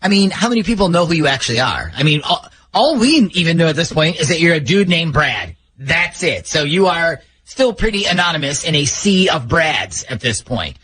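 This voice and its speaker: A man's voice: on the high side, very animated, brisk, hardly any pauses, fairly bright tone, a little hiss or hum. An American man hosting a radio show, talkative and joking as he banters and runs phone calls. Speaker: radio host running a prank call segment.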